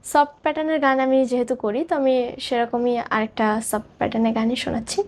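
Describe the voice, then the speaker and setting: A woman's voice: a little high, little pitch movement, a little fast, a little mellow. A woman, a singer giving thoughtful, somewhat hesitant answers as an interview guest.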